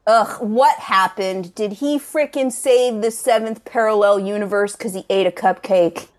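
A woman playing an unimpressed slacker student of magic, griping in halting, slangy speech in an improvised comedy sketch. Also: mid-range voice, lightly acted. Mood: sardonic, griping.

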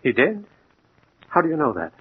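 An actor playing a tough, guarded American gang boss, curt and sardonic, in 1940s radio crime drama style. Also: male voice, a little high, wildly swooping pitch, normal speed, slightly rough, heavily acted. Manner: curt, hard-boiled, sardonic. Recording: tinny, narrow old radio recording.

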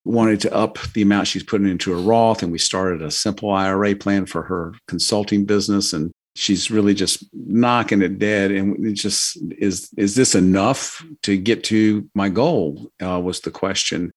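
A man's voice: on the low side, steady tone, normal speed, hardly any pauses. An older man giving financial advice as a podcast guest, in a casual, earnest, folksy and loosely phrased way.

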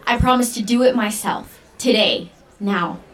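A woman's voice: mid-range voice, a little slow, fairly bright tone, natural voice. A woman playing an earnest young speaker making a solemn, resolute ceremonial vow in an audio drama.

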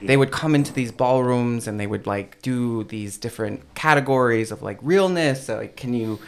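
A man's voice: mid-range voice, normal speed, clear, resonant tone. A man, a podcast guest, telling a personal story in casual chat, with many fillers and restarts.

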